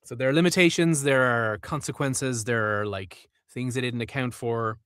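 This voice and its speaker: An Irish man with a bright, forward voice talks easily as an interview guest. The recording is close and dry, with no room around it. He is chatty and slips into other accents for fun. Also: medium pitch, steady tone, normal speed, clear, resonant tone, dry recording. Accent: Irish, from Wexford. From Ireland. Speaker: audiobook narrator, guest. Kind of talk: casual interview chat.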